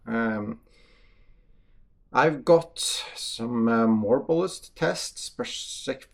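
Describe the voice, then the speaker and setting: A man with a Norwegian accent, a native Norwegian speaker, giving a halting, matter-of-fact casual review of hunting bullets. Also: mid-range voice, gravelly. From Norway. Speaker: hunter testing bullets.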